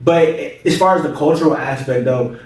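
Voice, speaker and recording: A man's voice: on the high side, normal speed, darkish tone, far from the mic. A young American man hosting an interview, thoughtful and curious, with lots of "like" fillers. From United States. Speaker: podcast host. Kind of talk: casual interview conversation. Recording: echoey room, mic set back.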